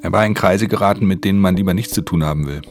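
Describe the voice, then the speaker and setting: Very deep-voiced, brooding male thief. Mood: brooding, watchful. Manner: measured, introspective, understated. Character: male professional pickpocket, haunted, watchful, weary loner. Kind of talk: radio drama first-person narration.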